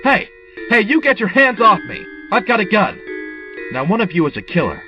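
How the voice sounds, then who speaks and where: A man's voice: high-pitched, huge pitch swings, moderate pace, lo-fi sound, soft background music. An actor in an audio drama playing a tense, bossy armed man who takes charge and interrogates people urgently. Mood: commanding. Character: tense male amateur investigator with a gun, commanding.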